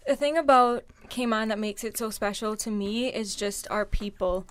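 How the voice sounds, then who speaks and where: A young woman, the current pageant titleholder, speaking sincerely and warmly as a radio guest. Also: medium pitch, moderate pace. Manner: heartfelt, sincere. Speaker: reigning pageant queen.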